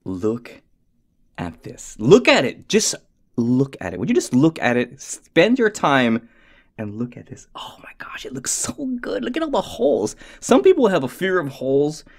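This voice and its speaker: A man doing a goofy, excitable watch strap review at his desk, talking to himself and dropping into whispers. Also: medium pitch, moderate pace. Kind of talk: casual unboxing review. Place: indoors at a desk.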